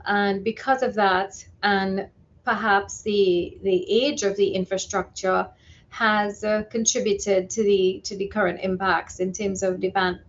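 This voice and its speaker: Hesitant woman expert on lo-fi audio. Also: medium pitch. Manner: measured, hesitant, careful explanations. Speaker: expert interview guest. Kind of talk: live news interview commentary.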